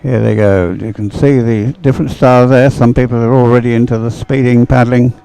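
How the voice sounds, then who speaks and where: Mellow, clear man commentating a race. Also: medium pitch, steady tone, fairly quick, poor audio. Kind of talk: live sports commentary.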